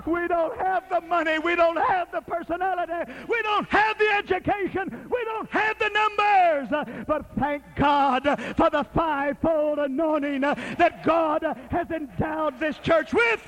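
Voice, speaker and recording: A man preaching a sermon in an emphatic, rhythmic style that rises to shouted peaks. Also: very high voice, measured pace, hardly any pauses, slight background noise.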